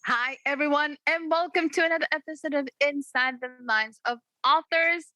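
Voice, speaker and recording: An upbeat woman hosts an interview with an author. Her voice is bright and slightly high, and the recording is dry with no room echo. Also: moderate pace, soft background music. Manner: upbeat, enthusiastic. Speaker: interview host.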